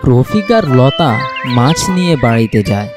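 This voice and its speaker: A man's voice: mid-range voice, very animated, normal speed, over music. A man narrating a scripted cartoon story.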